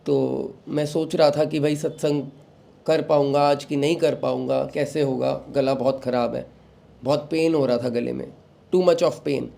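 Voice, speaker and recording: A young man, recovering from a sore throat, hosting a devotional talk and giving calm advice to followers while mixing in English phrases. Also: fairly high pitch, a little slow, gravelly. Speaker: satsang host.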